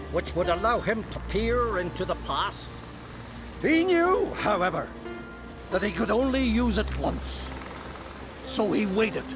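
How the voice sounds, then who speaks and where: An actor playing a proud, stern older scholar in a game cutscene, telling a legend in a measured, grave way. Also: male voice, sits high. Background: game score music.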